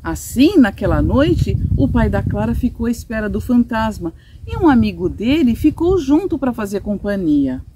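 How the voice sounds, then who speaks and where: Animated, high-pitched Brazilian woman storyteller. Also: moderate pace, few pauses, slight background noise. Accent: Brazilian Portuguese. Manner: simple, explanatory storytelling for children. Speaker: storyteller reading a children's audiobook. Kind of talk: expressive children's story reading. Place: outdoors in a sunny garden patio, seated.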